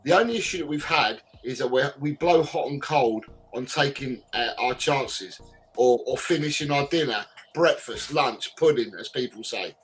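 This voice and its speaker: A man's voice: mid-range voice, normal speed, fairly bright tone, faint music behind, poor audio. A man hosting a casual podcast, emphatic and repeating his points as he quizzes his guests over a video call. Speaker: podcast host.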